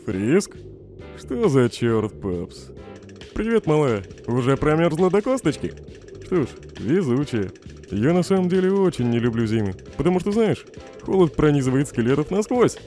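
A man's voice: fairly high pitch, very animated, moderate pace, dark tone. A man voicing a snarky, irritable skeleton scientist in a comic dub, sardonic and dismissive. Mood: casual, teasing. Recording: faint music behind the voice.

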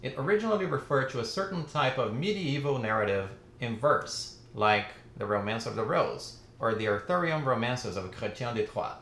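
Lively man lecturing with animated pitch. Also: moderate pace, hardly any pauses, reverberant, a little hiss or hum. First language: Portuguese. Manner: lively, expressive, gestures with hands. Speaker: literature lecturer. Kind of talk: video lecture. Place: home study with bookshelves. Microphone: camera framing, chest-up.

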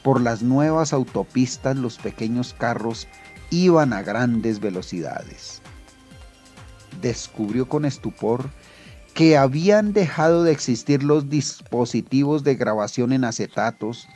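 A man reading scripted literary narration in a measured storytelling manner. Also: medium pitch, animated, dark tone, soft background music.

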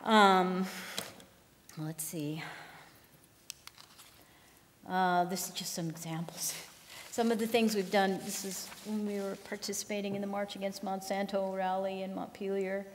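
A woman's voice: medium pitch, flat delivery, a little slow, long pauses, a little hiss or hum. A woman farm manager giving a conference keynote at a podium, speaking in a conversational way with frequent ums and restarts. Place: large lecture hall. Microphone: podium microphone. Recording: long hall reverb.

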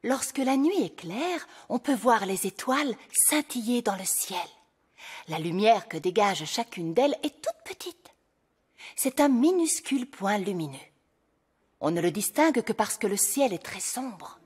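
An actress playing a gracious fairy queen and mother in a French cartoon, patient and expressive, with a slightly husky voice. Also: fairly high pitch, a little slow, full character voice. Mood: patient, explaining. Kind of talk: French animated cartoon dialogue.

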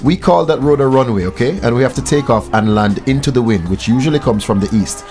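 A man plays a young Jamaican pilot in a radio drama. He is affable and humble, explaining things earnestly in a medium-pitched voice, with faint music at times. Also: fast, darkish tone, close to an everyday voice. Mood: friendly, informative.

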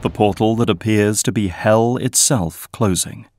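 A man narrating an audio drama in a measured, scripted style. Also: lowish voice, very noisy.

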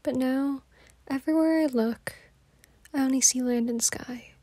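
Wistful, plain-spoken farm girl, acted by a woman, higher voice, recorded dry, for an oral-history monologue. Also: measured pace, dark tone, no room sound. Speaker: student performer.

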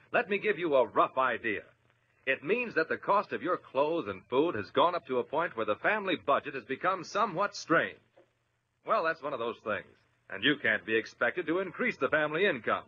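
Animated, high-pitched vintage radio announcer man. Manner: avuncular, instructive. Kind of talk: vintage scripted public service address to children. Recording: muffled, faintly noisy old radio recording.

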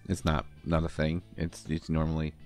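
A man playing an affectionate, bashful partner in an intimate roleplay audio, talking haltingly with stammers and gentle teasing. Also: very deep voice, completely flat delivery, faint music behind. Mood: tender, bashful. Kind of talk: intimate roleplay audio acting, one side of a conversation.

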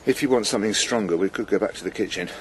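A man's voice: lowish voice, racing, a little hiss or hum, natural voice, low-quality recording. An actor playing a kindly, slightly hesitant male farmer who listens sympathetically, in a naturalistic radio soap. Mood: sympathetic. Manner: hesitant, reassuring.

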